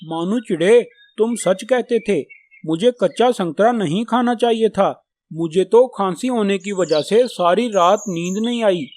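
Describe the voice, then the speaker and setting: A man's voice: high-pitched, measured pace, darkish tone. A man voicing a stubborn, greedy young male crow in a children's cartoon, with whiny, headstrong childlike talk. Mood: eager. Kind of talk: Hindi children's cartoon dubbing.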